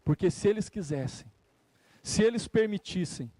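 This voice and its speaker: A man's voice: high voice, lively intonation, moderate pace, mellow, no echo. A Brazilian man preaching a church sermon, repeating phrases for emphasis and speaking directly to his listeners in a conversational way. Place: live church service.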